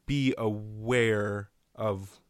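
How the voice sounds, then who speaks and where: A man's voice: lowish voice, fairly even intonation, extremely slow, no echo. A man asking questions conversationally as an interview host.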